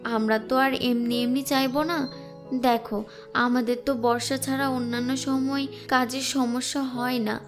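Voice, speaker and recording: Actress as anxious village mother, horror cartoon, high voice, pleading delivery, music behind. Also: level tone, a little slow. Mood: persuading.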